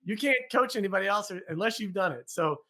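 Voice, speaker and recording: Male podcast host, high-pitched and rapid, energetic and emphatic; bright, forceful tone. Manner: energetic, emphatic, quick interjections. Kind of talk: conversational interview.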